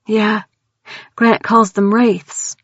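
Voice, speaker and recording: A woman voicing a caring, anxious young woman who speaks in a gentle, reassuring way. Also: medium pitch, little pitch movement, extremely slow, no echo, pitched above the performer's own voice, full character voice. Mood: concerned. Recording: dull dry audio.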